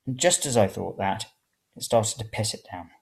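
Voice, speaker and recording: A narrator reading first-person serial fiction in an expressive, dramatic way. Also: medium pitch, moderate pace, vocal fry. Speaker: story reader.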